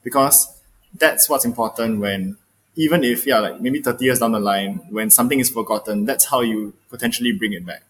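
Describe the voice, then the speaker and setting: A man's voice: mid-range voice, brisk, a bit bright, slightly rough, rough recording. A young man, a guest in a casual panel chat, earnest and often repeating words. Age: young adult.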